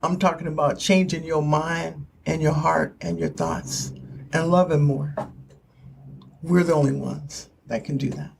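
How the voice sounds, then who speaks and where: A woman's voice: low-pitched, steady tone, measured pace, vocal fry, darkish tone, slight background noise. An older woman giving an earnest spoken testimony in short, emphatic, preacherly phrases. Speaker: author giving her testimony.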